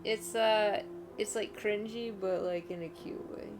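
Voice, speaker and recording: A woman's voice: mid-range voice, steady tone, a little slow, background noise. A woman chatting casually as a video game streamer, musing and often letting thoughts trail off.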